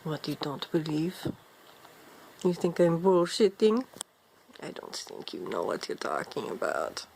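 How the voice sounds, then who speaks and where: An ancient vampire woman, role-played by a woman, low and heavy with vocal fry. She is condescending and seductive, with menace under every unhurried phrase. There is faint noise behind her. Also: huge pitch swings, a little mellow, full character voice, low-quality recording. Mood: scornful, condescending. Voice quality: gravelly, low, creaky. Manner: slow, haughty, teasing, calls listener darling. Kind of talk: improvised in-character role play monologue to viewer.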